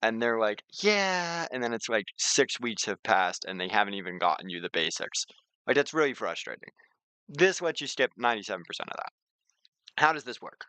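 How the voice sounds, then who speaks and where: A man giving an informal screen-share software tutorial, talking conversationally and repeating words for emphasis. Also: fairly low pitch, very animated, fairly quick, clean tone, no echo.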